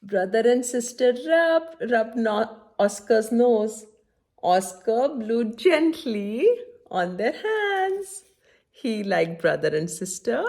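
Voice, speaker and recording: A woman reads a children's picture book at a slow pace with lively, varied pitch. She mixes the reading with simple asides to her young listeners. She often checks that they are following along. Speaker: children's story-time reader and host.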